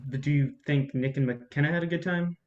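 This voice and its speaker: A man's voice: a little high, brisk, phone-quality sound, lightly acted. A man playing a cynical but smitten, inquisitive young man in a naturalistic staged play reading. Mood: curious.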